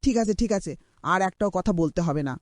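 A woman's voice: fairly low pitch, lively intonation, fast, no room sound, studio quality, close to an everyday voice. A woman playing a cruel aunt in a cartoon drama, scolding and sarcastic in a harsh, nagging manner. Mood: bitter, dismissive. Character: cruel, scolding aunt bullying her orphaned niece.

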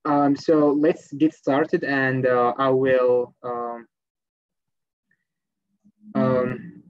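A man's voice: mid-range voice, little pitch movement, drawn-out words, no room sound, highs cut off, soft background music. A young man from Morocco giving a live online presentation on a video call, hesitant and full of fillers. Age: young adult. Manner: hesitant, many fillers and restarts. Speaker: guest presenter, language teaching assistant. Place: small room at home, indoors. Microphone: laptop webcam, video call.

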